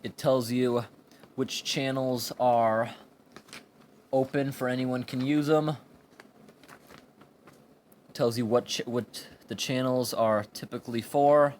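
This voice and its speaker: Male product reviewer, average pitch, plain and halting explainer delivery, amateur indoor sound with slight noise. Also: steady tone, a little mellow. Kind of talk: informal hands-on product review. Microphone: handheld camera or phone microphone. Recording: amateur recording with background room noise.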